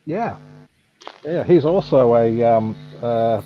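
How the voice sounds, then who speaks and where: A man's voice: medium pitch, lively intonation, slow pace. A middle-aged man, a guest in a casual online chat, hesitant and repeating his words, chuckling now and then. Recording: dull lo-fi mic with faint hiss.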